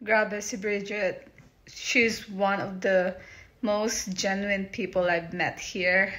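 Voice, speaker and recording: A young woman with a Filipino accent, chatting casually to a phone camera at home, soft and relaxed, dropping in Tagalog words. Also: medium pitch, takes it slowly. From Philippines. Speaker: vlogger. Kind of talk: casual vlog chat. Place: bedroom and bathroom at home. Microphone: phone camera at arm's length.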